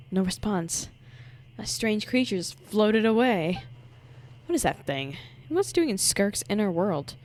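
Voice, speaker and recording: Young woman streamer, lively pitch swings. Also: takes it slowly. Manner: reactive, slangy, jokey. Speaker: video game streamer. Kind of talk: casual gameplay commentary. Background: game music.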